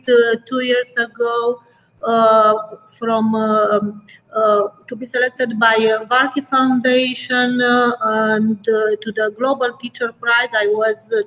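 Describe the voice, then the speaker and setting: A middle-aged woman from Romania speaks slowly in a fairly high voice. She hesitates often, searching for words as she describes her teaching work. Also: fairly bright tone, poor audio. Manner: halting, many filler sounds. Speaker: guest teacher. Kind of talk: webinar self-introduction.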